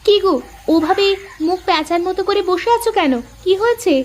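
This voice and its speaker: A woman voicing a young, outspoken daughter-in-law in a cartoon, pert and expressive in manner. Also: very high voice, a little fast, slight background noise. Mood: pert, chatty. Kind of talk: dubbed moral cartoon dialogue.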